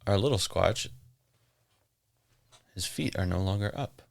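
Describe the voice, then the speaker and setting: A man's voice: deep voice, measured pace, creaky. A man co-hosting a podcast, throwing in wry, sarcastic quips during casual banter. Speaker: podcast co-host.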